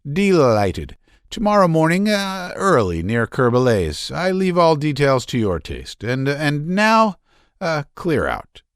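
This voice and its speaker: Cold, clipped, sardonic gentleman, read by a male narrator; lively pitch, dry sound. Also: clear, resonant tone, no echo, heavily acted. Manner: cool, clipped, measured. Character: cool, sardonic male zoologist, calm.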